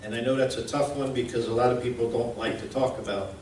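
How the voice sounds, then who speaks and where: A man presents informally to a group in a plain, folksy, slightly halting way. He sits at a middling pitch, heard a little away from the mic in an echoing room with noise behind him. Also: steady tone, darkish tone. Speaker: presenter, radio station founder. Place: indoor museum event room. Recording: roomy, distant mic, clear background noise.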